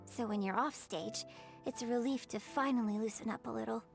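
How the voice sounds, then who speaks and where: A gracious young stage performer in a video game. Her voice is high, and she phrases everything politely and with composure. Faint music plays behind her. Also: a little fast, gravelly. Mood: gracious, pleased. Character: poised, gracious young female opera performer.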